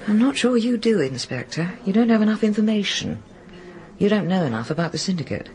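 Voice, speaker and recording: Actress as a guarded woman with lively intonation. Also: mid-range voice, fairly quick, natural voice. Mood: defensive. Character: guarded, candid single woman working at an exam syndicate. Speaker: actor in a radio drama. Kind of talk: naturalistic British radio drama acting.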